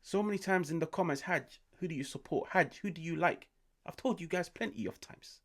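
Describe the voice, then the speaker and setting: A British man in his late twenties, hosting an excited football reaction, swearing often and swinging between loud outbursts and hushed asides. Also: a little high, brisk. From United Kingdom. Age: 20s. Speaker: football channel host.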